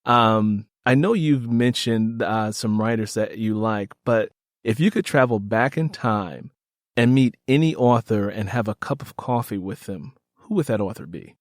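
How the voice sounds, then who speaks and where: A man's voice: fairly low pitch, measured pace, dry recording, studio quality. A man hosting a casual interview, speaking enthusiastically and repeating phrases for emphasis. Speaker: podcast host interviewing an author.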